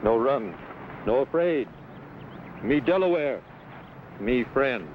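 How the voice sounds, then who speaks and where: A slow, halting medicine man. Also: medium pitch, animated. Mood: calm, halting. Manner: halting, clipped broken English in short phrases. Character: friendly Delaware medicine man, halting and plain-spoken. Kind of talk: old-time radio adventure drama acting. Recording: dull, noisy old radio recording.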